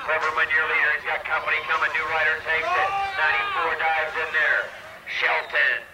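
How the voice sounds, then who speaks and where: A man's voice: on the high side, very animated, a little fast. A man calling a race live in a clipped chant of names and numbers. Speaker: motocross or BMX race announcer. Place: outdoor race track. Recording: distant public address over track noise.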